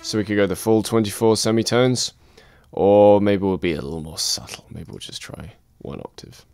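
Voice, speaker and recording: A man calmly walking through a music production software tutorial, step by step. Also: lowish voice, normal speed, smooth, no echo. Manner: calm step-by-step explaining. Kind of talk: screen-recorded software tutorial.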